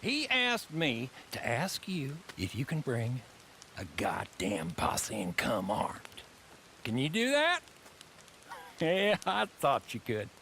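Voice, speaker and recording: Western game role: kindly, wheedling old American drifter, swooping and sharp-edged, halting delivery. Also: male voice, medium pitch, wildly swooping pitch, vocal fry, a little hiss or hum, full character voice. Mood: hushed, conspiratorial. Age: older. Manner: halting, drawn-out, repeats himself. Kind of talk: video game western cutscene acting.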